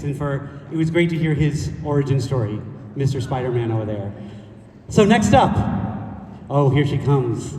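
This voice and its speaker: A man's voice: a little high, dark tone, a little hiss or hum. A man with a slightly raised voice hosts the stage, introducing speakers with jokes and banter. He sounds distant, in a big reverberant hall. A crowd murmurs faintly behind him. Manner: playful, joking banter with the audience. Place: large conference hall stage. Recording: echoey hall from far off, crowd audible.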